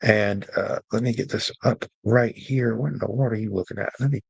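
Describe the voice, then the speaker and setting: A man's voice: on the low side, fairly even intonation, brisk, creaky, husky, no echo. A man hosting a casual, humorous talk on media, conversational and jokey with emphatic asides. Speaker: host, storyteller presenting to an audience.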